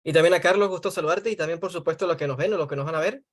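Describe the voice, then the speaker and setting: A man's voice: fairly high pitch, racing. Venezuelan man, church elder, fervent. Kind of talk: casual introduction and spoken prayer.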